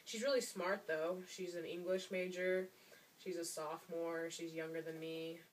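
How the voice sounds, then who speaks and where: A woman's voice: fairly low pitch, flat delivery, moderate pace. A woman in her twenties from Michigan, talking about a roommate in a hesitant, musing way. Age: 20s. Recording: echoey room, distant mic.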